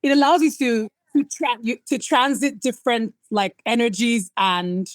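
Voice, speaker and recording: A woman from Nigeria, a guest on a podcast, talking in a relaxed, reflective way with frequent restarts. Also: on the high side, moderate pace, no room sound. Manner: warm, reflective, searching for words with restarts. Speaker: guest, writer and poet. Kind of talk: casual conversational interview. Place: home in Lagos, remote call.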